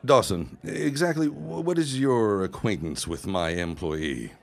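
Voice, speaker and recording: An actor playing a gruff, jealous businessman in a period audio drama, speaking curtly and scornfully. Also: fairly low pitch, expressive, a little slow. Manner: curt, scornful. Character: gruff, jealous businessman at his engagement party.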